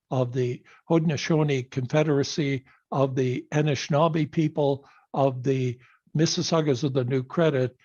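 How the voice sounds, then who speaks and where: Husky older man on a lo-fi call. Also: mid-range voice, darkish tone. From Canada. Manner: earnest, measured storytelling. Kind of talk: personal speech on a video call. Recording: dull lo-fi video call audio.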